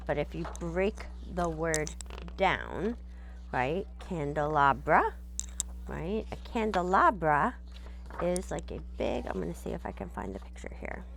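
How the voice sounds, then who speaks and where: A woman's voice: sits low, lively intonation, unhurried, vocal fry, a bit bright, a little hiss or hum. A woman teacher reading a storybook aloud and patiently explaining it in simple terms, often checking in with "right?".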